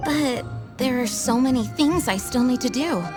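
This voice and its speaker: Casting: actress for gracious young female knight commander in a game, slightly high voice, formal, earnest, music underneath. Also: normal speed, natural voice. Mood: touched, flustered. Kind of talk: video game voice acting.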